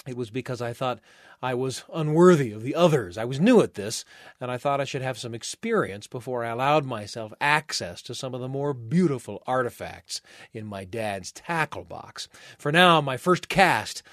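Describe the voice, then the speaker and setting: A man hosting a radio storytelling show, narrating a personal tale in a measured, expressive way with deliberate pauses. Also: mid-range voice, dry recording, clean studio sound.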